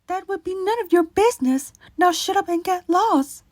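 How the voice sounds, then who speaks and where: A woman voicing a small, bold, sharp-tongued young male college senior in a radio drama. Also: extremely high pitch, expressive, moderate pace, no room sound, studio quality. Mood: defiant. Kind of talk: amateur radio drama acting.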